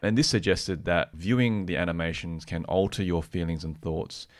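A man co-presenting a podcast, explaining research conversationally in a measured, explanatory manner. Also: low-pitched. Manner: measured, explanatory, tentative when giving opinions. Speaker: podcast co-presenter, practising therapist.

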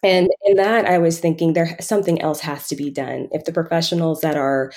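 A woman giving reflective answers as an interview guest, speaking in a halting, conversational way as she works out her thoughts. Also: low-pitched, fairly even intonation, darkish tone. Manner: halting, thinking aloud, frequent hedging with "kind of" and "like". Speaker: podcast guest, financial coach.

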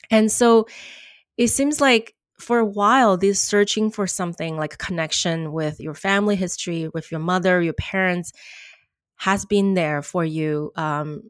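Woman, podcast host, low voice, soft-spoken, halting with fillers, dry close sound. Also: dry recording. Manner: soft-spoken, searching for words with frequent fillers. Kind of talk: casual interview conversation.

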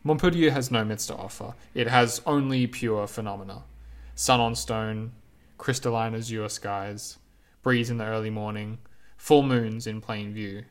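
A man reading his own reflective essay aloud in a measured, lyrical, unhurried way. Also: lowish voice, fairly even intonation.

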